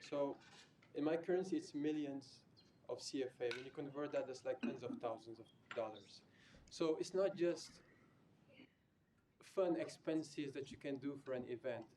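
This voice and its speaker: A man's voice: mid-range voice, moderate pace, noisy background. A man from Senegal, a student government director, speaking bluntly and informally in an off-the-cuff floor debate, with casual fillers. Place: student senate meeting room.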